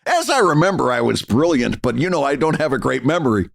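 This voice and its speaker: A man co-hosting a podcast in casual chat, with crisp, careful enunciation and popping P sounds. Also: mid-range voice, very animated, quick pace. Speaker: podcast co-host.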